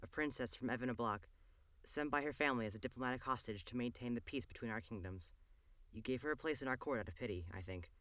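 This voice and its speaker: A man's voice: medium pitch, quick pace, fairly bright tone, no room sound, close to an everyday voice. A man playing a long-serving royal steward in an audio drama, fussy, exasperated and sardonic. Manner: sardonic, scolding.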